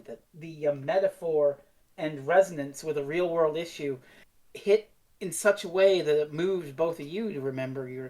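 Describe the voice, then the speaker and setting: A woman's voice: low-pitched, darkish tone. A woman co-hosting a podcast in casual, reflective discussion, earnest, feeling her way through phrases and sometimes swearing. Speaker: podcast co-host.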